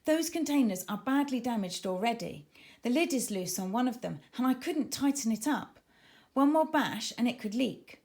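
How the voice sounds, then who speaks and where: A woman's voice: on the high side, animated, moderate pace, darkish tone, pitched above the performer's own voice. Woman reading as a serious, worried female diver. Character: serious, worried female diving teammate, practical and cautious. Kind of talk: children's storybook character voice.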